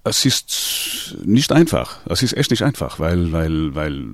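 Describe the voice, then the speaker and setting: A man's voice: sits low, big pitch swings, takes it slowly, no room sound, clean studio sound. A man, a singer in a band, answering radio interview questions hesitantly with many restarts. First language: Portuguese. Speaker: singer in a latin music band.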